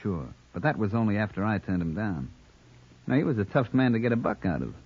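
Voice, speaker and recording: An American actor playing a sleazy, wisecracking private detective and blackmailer in an old radio crime drama, with a husky voice. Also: male voice, lowish voice, very animated, fairly quick, full character voice. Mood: sly, insinuating. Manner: wisecracking, insinuating slang. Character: sleazy male private detective, brazen blackmailer, needling and slangy. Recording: muffled old radio recording.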